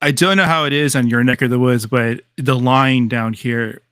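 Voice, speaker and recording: Casting note: male podcast host, easygoing and chatty, mid pitch, dry close mic. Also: steady tone, moderate pace, fairly bright tone, clear, resonant tone, dry recording. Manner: loose and chatty, laughs easily, many fillers. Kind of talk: casual live podcast chat.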